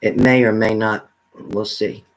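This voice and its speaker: A man's voice: on the low side, steady tone, measured pace, creaky. A man hosting a livestream, chatting casually and informally with viewers as he goes. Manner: informal, addresses viewers by name. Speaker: livestream host. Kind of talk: casual live chat with viewers.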